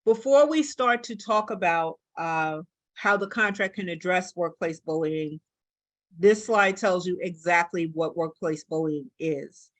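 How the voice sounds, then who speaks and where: A woman's voice: fairly low pitch, a little slow, dry recording. Woman presenting, dry close sound. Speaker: union staff presenter. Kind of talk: workshop presentation.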